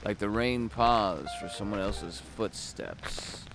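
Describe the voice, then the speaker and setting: An actor playing a world-weary, hard-boiled private detective, narrating a noir audio drama in a wry, brooding, gravelly voice. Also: male voice, on the low side, takes it slowly. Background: music.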